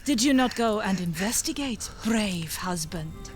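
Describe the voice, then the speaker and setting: An actress playing a sharp-tongued Viking wife in a game cutscene, teasing with sarcasm. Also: female voice, medium pitch, lively intonation, a little slow, faint music behind, lightly acted. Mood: mocking. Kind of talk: video game cutscene acting.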